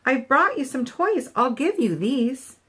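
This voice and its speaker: A woman voicing a possessive young male dinosaur bully in a storybook, with drawn-out, emphatic delivery. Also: high voice, very animated, breathy edge, voice pushed up, heavily acted. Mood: pleading. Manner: drawn-out, emphatic, grumbling to shouting.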